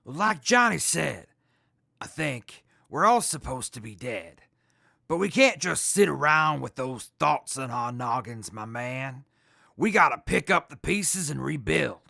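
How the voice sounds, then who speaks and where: An actor in an audio drama playing an earnest hepcat military captain who talks in jive beatnik slang. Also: male voice, mid-range voice, animated, measured pace, gravelly, bright, forceful tone, dry recording, studio quality, exaggerated voice. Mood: somber, gentle.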